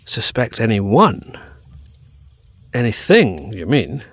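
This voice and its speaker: An actor playing a learned, excitable doctor with a pedantic, emphatic, theatrical way of speaking in a period gothic audio drama. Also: male voice, lowish voice, slow pace, slightly rough, dry recording, exaggerated voice. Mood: earnest, speculative. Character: learned, excitable male doctor pondering mysteries.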